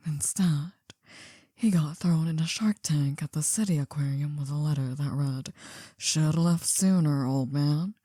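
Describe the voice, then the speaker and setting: An older female crime boss, acted by a woman, murmurs right into the ear in a very dark, mellow whisper. She is slow and deliberate, breathy and possessive, soft but dangerous in an ASMR roleplay, recorded close and dry. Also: sits low, no echo. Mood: wistful, confiding. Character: possessive older female mafia boss, soft-spoken, coaxing yet menacing. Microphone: close dry microphone.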